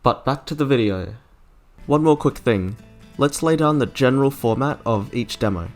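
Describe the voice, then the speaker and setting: A man's voice: mid-range voice, animated, normal speed, soft background music. A man narrating a scripted video essay as its host.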